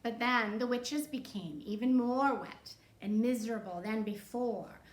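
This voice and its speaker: A woman reading a picture book aloud to children in an expressive, playful way, with gasps and asides. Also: fairly high pitch, a little slow. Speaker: library storytime reader. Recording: roomy echo, faint background noise.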